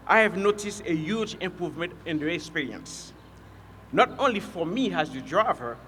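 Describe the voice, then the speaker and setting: Guy reading a speech at an outdoor event, bright voice, long echo off the PA. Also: a little high, a little hiss or hum. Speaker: bus driver and union member. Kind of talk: reading prepared remarks at an outdoor press event.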